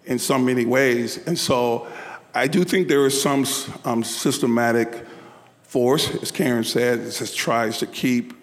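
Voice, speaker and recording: A man from the rural South speaks loudly and earnestly as a panel guest. He often repeats words as he builds his point. His voice carries in a hall with long reverb. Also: medium pitch, steady tone, a little slow. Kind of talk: panel discussion.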